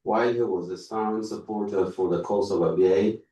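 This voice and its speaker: Man, deep mellow voice, halting formal reading, conference speech, roomy sound away from the microphone. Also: fairly even intonation, dull audio. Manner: halting reading, stumbles over words and repeats them. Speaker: speaker reading out a written tribute. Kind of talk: reading a prepared text aloud at a conference. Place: indoor conference room. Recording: roomy echo, distant microphone.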